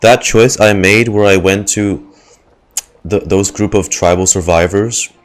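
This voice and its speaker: A man's voice: on the low side, fairly even intonation, a little slow. A man giving casual, slangy reaction commentary as a game streamer, often sounding exasperated.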